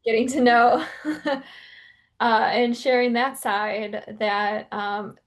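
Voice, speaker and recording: A woman's voice: on the high side, steady tone, slow pace. A woman interviewing someone in a casual, informal and slightly tentative way, often saying "like".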